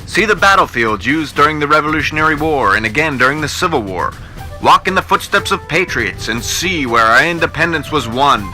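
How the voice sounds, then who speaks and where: A man playing an excitable, patriotic old-time radio announcer delivering a brisk, punchy sponsor advertisement. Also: fairly high pitch, faint music behind, pitched above the performer's own voice, low-quality recording. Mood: excited, hard-selling. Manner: brisk, punchy, hard-selling.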